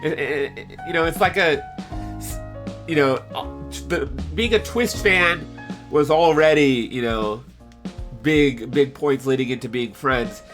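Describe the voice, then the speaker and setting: A man with a slightly stuffy nose chatting casually with live stream viewers in an excitable, playful, rambling way. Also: on the high side, measured pace. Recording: music behind the voice. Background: background music with guitar.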